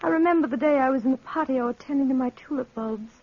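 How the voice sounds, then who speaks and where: An actress playing a lonely, unhappy young French wife with melodramatic, plaintive delivery in an old-time radio drama. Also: female voice, high voice, quick pace. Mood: anguished. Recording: muffled old radio recording.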